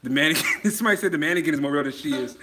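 A man's voice: fairly high pitch, lively intonation, racing, very noisy. A man in his thirties from Virginia, hosting a casual livestream from a photo studio on a phone held at arm's length, often laughing and reading viewer comments aloud. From Virginia, USA. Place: photo studio in a garage, indoors.

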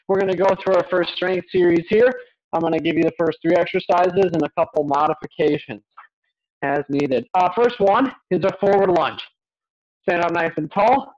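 A young man with a notably high voice coaches exercise while doing it himself. He gives short, repeated cues with steady encouragement. The sound is slightly dull and lo-fi, like a webcam in a small home gym. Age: young adult. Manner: physically active while talking. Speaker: fitness coach. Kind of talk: instructional workout coaching with counted cues.

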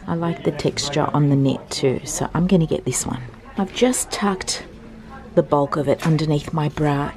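Young woman, low mellow voice, chatty, slips into whispers, small fitting room, handheld camera. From Australia. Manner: chatty, often drops to a soft whisper. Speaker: thrift vlogger. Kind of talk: casual try-on chat. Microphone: handheld compact camera filming in a mirror.

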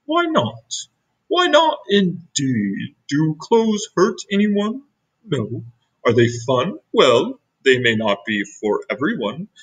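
A man's voice: on the high side, breathy edge, recorded from a distance, heavily acted, low-quality recording. A man voicing an old grandpa mole rat who proclaims in a heroic, regal, pompous manner. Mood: reflective.

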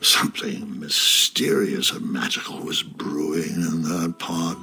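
A man's voice: rumbling low, measured pace, full character voice. An actor playing an elderly king who fondly narrates tales of his youth in an adventure game. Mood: reminiscing. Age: older. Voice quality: gravelly. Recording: music playing behind the narration.

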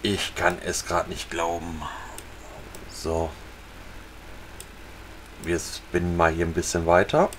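A man casually talking viewers through a fishing video game, relaxed and chatty. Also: low-pitched, slow pace, long pauses, a little hiss or hum. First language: German. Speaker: gaming channel host. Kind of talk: casual let's-play commentary.

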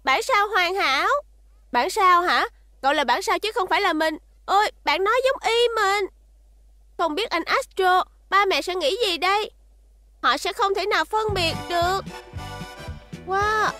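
A very high, childlike voice a little robot girl in a cartoon dub. She is playful, mischievous and insistent, with clearly audible music behind her. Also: unhurried, sharp tone, dry recording, clean studio sound, exaggerated voice. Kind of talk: cartoon dubbing.

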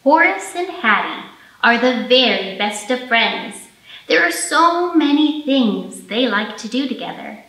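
A woman hosts storytime for small children in a bright, fairly high voice. She phrases things simply and expressively, as a children's librarian would. The room adds noticeable echo around her. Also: sing-song, a little slow. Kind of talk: storytime teaching, singing and picture-book reading for young children. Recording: roomy echo, faint music.

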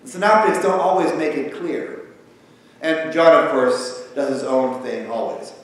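Male pastor, 30s, slightly high voice, conversational sermon, heavy church reverb from a distant camera. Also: a little slow. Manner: conversational, animated, gesturing at the pulpit. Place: large church sanctuary with pipe organ. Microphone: far camera across the sanctuary. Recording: echoey sanctuary from far off, faint hiss.

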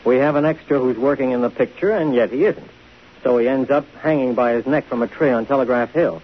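An actor playing a gruff, bossy, wisecracking male police detective in a 1950s radio drama. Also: medium pitch, a little fast, lightly acted. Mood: exasperated. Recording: hissy, muffled 1950 radio broadcast.

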